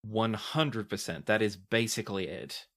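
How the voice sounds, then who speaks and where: A man running a tabletop game as game master, describing scenes in a deliberate, dramatic way. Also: fairly low pitch, a bit bright.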